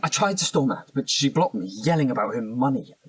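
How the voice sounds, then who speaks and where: Cornered british suspect, husky voice, jumpy pitch, defensive and faltering, audio drama. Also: male voice, expressive, brisk, rough recording. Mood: distraught, confessing. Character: volatile, defensive male murder suspect under interrogation.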